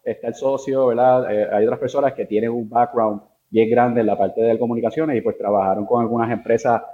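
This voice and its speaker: A man's voice: medium pitch, steady tone, brisk, phone-quality sound, slight background noise. A man with a Puerto Rican accent explaining technical things informally as an interview guest, hesitating and mixing in English tech terms. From Puerto Rico. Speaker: interview guest, engineer in networks and security.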